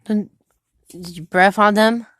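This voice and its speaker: A nineteen-year-old woman asking a steady run of quick, curious follow-up questions in a casual chat at home. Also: mid-range voice, dry recording.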